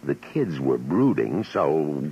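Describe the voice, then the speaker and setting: A man's voice: very deep voice, very animated, slow, vocal fry, dark tone, exaggerated voice. An actor playing a wry, exasperated middle-aged father in an old-time radio drama. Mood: wry, weary. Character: exasperated, hungry middle-aged father narrating his diet woes. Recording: noisy muffled vintage radio recording.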